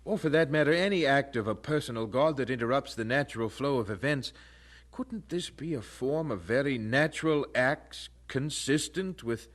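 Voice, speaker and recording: A man's voice: mid-range voice, normal speed, lightly acted. An actor playing a devout young male student, thoughtful and intense, with formal, earnest phrasing in an audio drama. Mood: earnest, pondering. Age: young adult.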